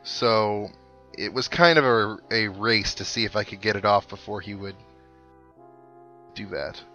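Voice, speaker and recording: A man's voice: fairly low pitch, unhurried, bright, forceful tone. A man hosting a casual let's play, chatting to viewers and thinking aloud with some wry joking. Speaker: gaming video host. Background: video game music.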